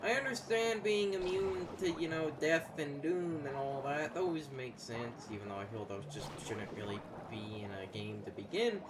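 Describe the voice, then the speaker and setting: A man's voice: a little high, animated, moderate pace, few pauses. A man giving casual, opinionated gaming commentary, sometimes hesitating mid-thought as he makes his point. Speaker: host of a let's play series. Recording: clear background noise.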